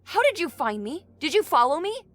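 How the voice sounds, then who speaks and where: A woman's voice: high-pitched, sing-song, fairly quick, a bit bright. A woman playing a tough, impatient police captain in an audio drama, blunt and exasperated. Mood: irritated. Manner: brusque, exasperated.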